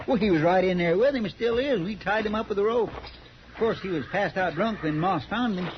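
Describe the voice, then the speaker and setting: Marshal's sidekick, male actor: high-pitched, folksy rural western American, earnest and fretful, old dull radio sound. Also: a little fast, natural voice. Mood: urgent, worried. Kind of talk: 1950s radio western acting. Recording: dull, noisy 1950s radio recording.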